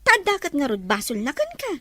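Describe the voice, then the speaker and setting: A woman's voice: high voice, wildly swooping pitch, fairly quick, pushed and bright, no echo, heavily acted. A woman playing a thoughtful young female student who questions her friend in an audio drama. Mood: pondering, gentle probing. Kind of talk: Filipino audio drama dialogue in Ilocano.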